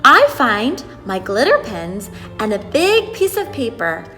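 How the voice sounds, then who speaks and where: A woman's voice: fairly high pitch, sing-song, measured pace, bright, forceful tone, full character voice. A woman reading a storybook as an exuberant, proud little girl, speaking in a theatrical, emphatic way. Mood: proud, excited. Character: exuberant, proud little girl who loves fancy words. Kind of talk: storybook read-aloud. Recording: echoey hall, music behind.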